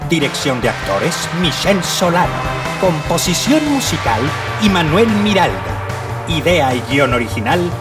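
A man's voice: on the high side, big pitch swings, moderate pace. A man announcing the title and credits of a radio adventure serial in a dramatic style. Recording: loud music, slightly distant voice.

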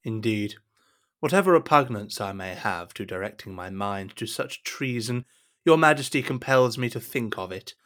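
A man's voice: fairly low pitch, lively intonation, normal speed, fairly bright tone. An actor playing a scheming, deferential cardinal advising a king in a period audio drama, with a formal, insinuating, courtly manner. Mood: measured, sly.

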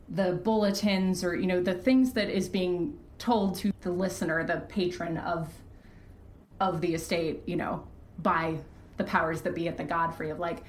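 A woman talking candidly as an interview guest, earnest and emphatic in how she explains things. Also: fairly low pitch. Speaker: podcast creator, interview guest. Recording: roomy, echoey sound.

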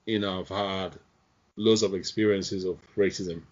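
A man in a casual group discussion, speaking in a measured, reflective way with frequent pauses. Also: low voice, fairly even intonation. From outside the UK. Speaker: panel guest. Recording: dull lo-fi sound.

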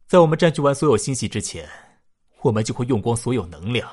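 Man acting a husky, lively-pitched far-future thinker. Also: fast. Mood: earnest, emphatic. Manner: reasoning, emphatic, philosophical. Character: far-future man nearly two hundred, youthful, pondering humanity's fate. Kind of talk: sci-fi radio drama dialogue.

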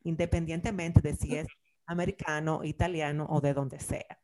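A woman's voice: low-pitched, fairly even intonation, normal speed, mellow. A woman in a casual podcast conversation who backs up the others and finishes their sentences.

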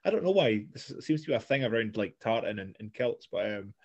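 A man's voice: on the low side, sing-song, brisk, darkish tone, breathy edge, dull audio. A Scottish man chatting casually, blunt and sweary, rambling with restarts. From Scotland. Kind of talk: casual chat.

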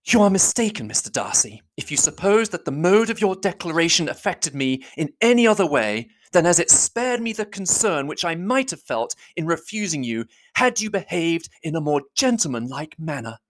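A reader voicing an indignant, proud young woman who accuses in heated, rapid declamation. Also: mid-range voice, sing-song, voice pushed up. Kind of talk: audiobook reading.

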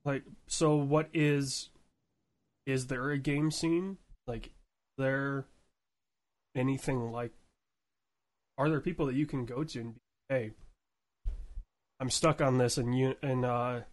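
A man hosting a podcast interview in a casual, informal way, with halting phrasing. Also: medium pitch, fairly even intonation. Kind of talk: casual chat.

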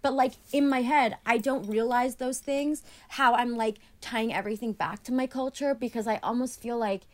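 A woman's voice: on the high side, moderate pace. Chatty woman guest on a remote call. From Annapolis, Maryland, USA. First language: Greek. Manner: chatty, many "like" fillers, animated when retelling. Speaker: podcast guest. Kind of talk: casual conversational interview. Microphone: remote call from home.

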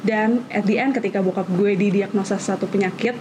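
A woman's voice: medium pitch, fairly even intonation, brisk. Hesitant young woman, noisy recording. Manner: hesitant, searching for words. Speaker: panel participant, working mother. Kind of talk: candid personal reflection. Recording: clear background noise.

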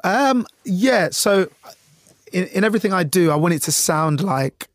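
A man's voice: high voice, lively intonation, moderate pace, no room sound. A man from Jamaica with a Jamaican accent, a radio news presenter chatting loosely in an interview, dry in humour and full of fillers. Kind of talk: relaxed interview chat.

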